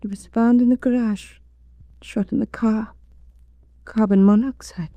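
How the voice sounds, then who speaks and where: A grieving young widow in a forties radio play. Her voice is dark and very soft-edged, slightly high, closely recorded and dry, subdued yet stubbornly loyal. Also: fairly even intonation, measured pace, no room sound. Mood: bitter. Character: weary young widowed mother, bitter but stubbornly loyal. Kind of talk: 1940s radio acting.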